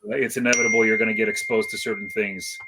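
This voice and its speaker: Low-voiced, reflective middle-aged man. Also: flat delivery, fast talker, background noise. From Iceland, moved to California at seven. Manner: hesitant, reflective, rambling with slangy asides. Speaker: guest, hip hop DJ. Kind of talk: casual interview chat.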